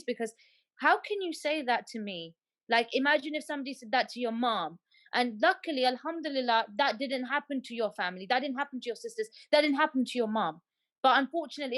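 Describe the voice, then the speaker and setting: High-voiced woman speaking with emphasis. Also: fairly bright tone. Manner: emphatic, heartfelt, repeats for stress. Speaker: panel guest. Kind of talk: personal testimony in a panel discussion.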